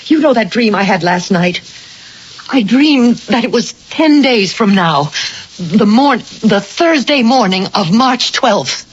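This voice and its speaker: An actress playing a sensitive, anxious wife in an old-time radio drama, speaking earnestly and with hesitation. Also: female voice, fairly high pitch, expressive, slow pace. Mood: uneasy, hesitant. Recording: muffled, noisy old radio broadcast.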